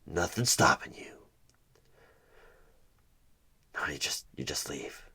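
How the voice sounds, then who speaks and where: A man playing a sinister, smug devil of a recruiter in a horror ASMR roleplay, coaxing and condescending, with a gravelly voice that often slips toward a whisper. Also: extremely low pitch, expressive, sharp tone, heavily acted. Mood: impatient, condescending. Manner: slow, deliberate, coaxing, condescending. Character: sinister devilish male recruiter, smug soul-buying tempter. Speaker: roleplay performer playing a job interviewer. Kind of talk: one-sided horror ASMR audio roleplay.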